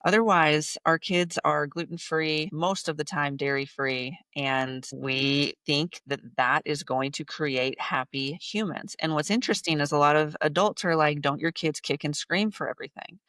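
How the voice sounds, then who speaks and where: A woman's voice: deep voice, steady tone, normal speed, few pauses, a bit bright, no room sound. A woman in her thirties, a guest on a podcast, talking bluntly and emphatically and repeating points for effect. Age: 30s. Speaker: podcast guest, business coach. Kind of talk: casual interview talk.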